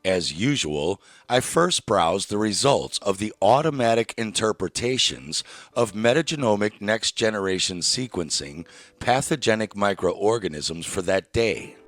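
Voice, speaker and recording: Sardonic deep-voiced male documentary narrator. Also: expressive, measured pace, fairly bright tone, no room sound. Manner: wry, sardonic, with dry asides. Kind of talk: scripted narration.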